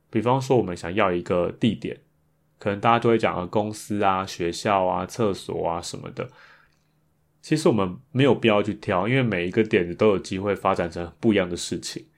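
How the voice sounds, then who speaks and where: A man with a Taiwanese Mandarin accent talks casually and reflectively as a solo podcast host. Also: lowish voice.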